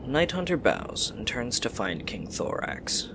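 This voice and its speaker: A man's voice: medium pitch, sing-song, measured pace, creaky, a bit bright, a little hiss or hum. A man reading scripted story narration for an audio drama.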